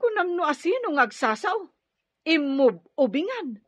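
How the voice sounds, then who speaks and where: A woman's voice: sits high, sing-song, a little slow, fairly bright tone, no echo, heavily acted. A woman acting a proud, quarrelsome village woman who scolds in a confrontational way in an Ilocano radio-style drama. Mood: scolding.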